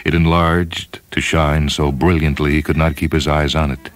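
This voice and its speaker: Male narrator, profoundly low voice, grave and measured storytelling over a music bed. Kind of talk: dramatic scripted story narration.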